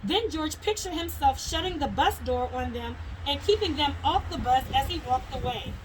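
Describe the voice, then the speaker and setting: A woman with a high-pitched voice narrates a children's storybook. She reads aloud as a teacher does for pupils. The recording carries faint noise. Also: animated, normal speed, low-quality recording.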